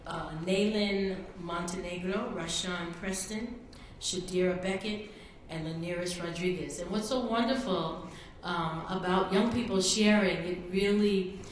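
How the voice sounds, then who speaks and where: A woman's voice: fairly low pitch, unhurried. A woman presenting the next act at a public event. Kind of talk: public introduction. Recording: very echoey hall, distant mic, faint noise.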